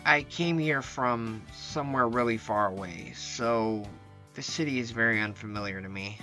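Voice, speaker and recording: A man voicing a bashful young man who stammers, then breaks into excited yelps, in an amateur visual novel dub. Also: mid-range voice, moderate pace, faint music behind, close to an everyday voice. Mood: explaining.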